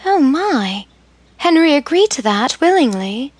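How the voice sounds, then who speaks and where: A woman voicing Emily, an anxious, outspoken young woman, in a soft, breathless, higher voice while reading a story. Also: big pitch swings, a little slow, dry recording. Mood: earnest.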